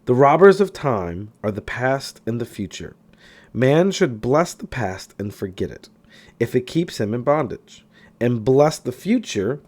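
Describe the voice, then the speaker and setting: A man reading a self-help book aloud as an audiobook narrator, with expressive, emphatic delivery. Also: mid-range voice. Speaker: audiobook reader.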